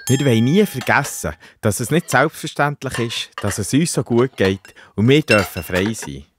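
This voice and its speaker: Kids' Bible audio play: sincere male disciple acted by a man, swinging melodic pitch, slight background noise. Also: animated, moderate pace, natural voice. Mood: festive, grateful. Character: John, an earnest, grateful young male disciple.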